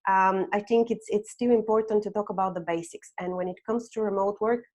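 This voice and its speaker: A woman's voice: mid-range voice, fairly even intonation, fairly quick, darkish tone. A woman in her thirties, originally from Bulgaria, presenting a webinar with slides and often adding "um" and "okay?". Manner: frequent "um" and "okay?" tags. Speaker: webinar host, HR consultant.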